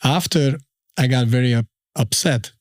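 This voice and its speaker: A man in his thirties from Albania with an Albanian accent, a podcast guest telling his story in a halting, easygoing way with many fillers. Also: medium pitch, no echo, clean studio sound. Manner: unhurried, halting, frequent fillers. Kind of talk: casual storytelling interview.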